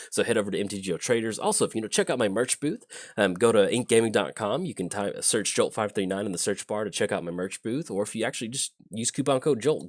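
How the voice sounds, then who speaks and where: A man talking casually through a card game on his channel, thinking aloud with a lot of ums and restarts, his voice slightly raspy from a chest cold. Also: lowish voice, no room sound, studio quality. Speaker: YouTube gameplay commentator. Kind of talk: casual gameplay commentary.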